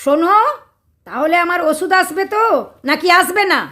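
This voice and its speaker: Actress as shrill-pitched, scolding wife. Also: female voice, moderate pace, sharp tone. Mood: indignant. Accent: West Bengal Indian. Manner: scolding, sarcastic, theatrical. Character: sharp-tongued, quarrelsome, mocking middle-aged wife. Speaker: actress in an audio drama. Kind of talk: Bengali domestic comedy radio play acting.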